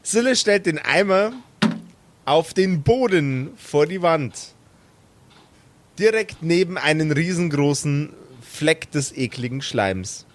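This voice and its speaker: A man's voice: a little high, expressive, takes it slowly, a little hiss or hum. A man narrating an improvised tabletop game as game master, with a playful, teasing manner.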